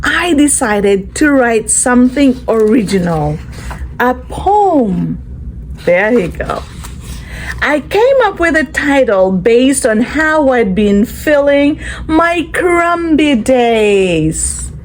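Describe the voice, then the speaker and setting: Casting: female children's storyteller, slow and deliberate, lively swooping intonation, encouraging teacher manner, some background noise. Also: high-pitched, rough recording. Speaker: children's read-aloud storyteller. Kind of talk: storybook read-aloud with asides to children.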